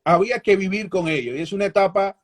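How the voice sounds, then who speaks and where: Cuban journalist guest, middle-aged man, husky voice, candid and measured. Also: high-pitched. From Cuba. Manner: frequent restarts, measured and candid. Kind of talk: reflective interview answers.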